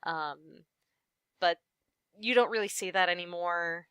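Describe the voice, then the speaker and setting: A woman's voice: lowish voice, unhurried, a bit bright, smooth, dry recording, clean studio sound. A young woman, a voice actress, chatting as an interview guest, laughing often and trailing off mid-thought. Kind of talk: casual interview chat.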